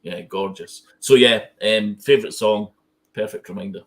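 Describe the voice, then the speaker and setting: A man's voice: fairly low pitch, normal speed, a bit bright. A man in his thirties talking about a favourite song, sentimental and hesitant with fillers. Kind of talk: casual fan discussion.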